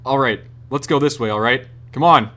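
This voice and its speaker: A man's voice: medium pitch, moderate pace, bright, forceful tone, close to an everyday voice. A man playing a decisive, loyal young American drifter in an audio drama, speaking in an urgent, commanding way.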